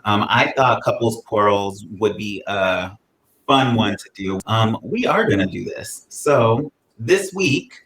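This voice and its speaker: Male podcast co-host, low-ish voice, slow and sardonic; echoey home room, faint noise. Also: lively intonation, a bit bright. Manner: playfully sarcastic, mock-bitter. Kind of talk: casual chat.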